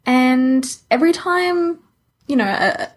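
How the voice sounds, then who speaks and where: A woman with a husky voice and a wry, joking manner, chatting casually as a podcast co-host. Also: high-pitched, lively intonation, takes it slowly.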